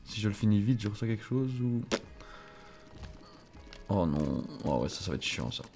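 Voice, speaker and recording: Low-voiced French gamer, chatty and wry. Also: male voice, big pitch swings, moderate pace, warm tone, faint music behind. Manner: chatty, self-mocking, swears under breath. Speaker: gaming streamer. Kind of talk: casual let's play commentary.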